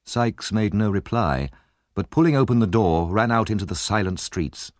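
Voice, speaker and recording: A man's voice: lowish voice, expressive, moderate pace, dry recording, clean studio sound. A man reading an audiobook as narrator, in a dramatic scripted storytelling style.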